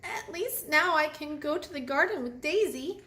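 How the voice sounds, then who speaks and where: Woman voicing an eager, high-pitched young girl bee. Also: animated, sharp tone. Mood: wistful. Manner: exclamatory, drawn-out delivery.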